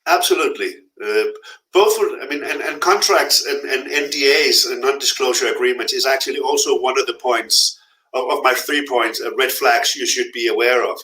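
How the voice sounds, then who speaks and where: A man's voice: mid-range voice, normal speed, bright, forceful tone. A middle-aged man, a freelance translator, giving frank, blunt advice as a guest in a live interview. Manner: frank, blunt warnings with repeated emphasis. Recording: echoey room.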